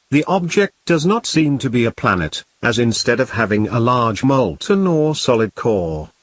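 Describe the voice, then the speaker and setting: A man playing a calm, grave emergency broadcast announcer, reading in a formal, measured and solemn way. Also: mid-range voice, no echo. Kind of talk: scripted emergency broadcast narration.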